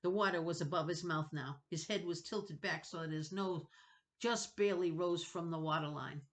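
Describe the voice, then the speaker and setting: A woman's voice: fairly low pitch, normal speed. A woman narrating a children's novel dramatically, reading with expression and suspense. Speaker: storybook reader.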